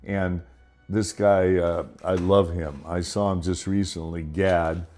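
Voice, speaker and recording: An older man with a very low voice talks in a rambling, conversational monologue. He keeps restarting and drifting into asides. Faint music plays softly behind him. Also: level tone, takes it slowly. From Los Angeles, United States. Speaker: podcast host. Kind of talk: casual monologue.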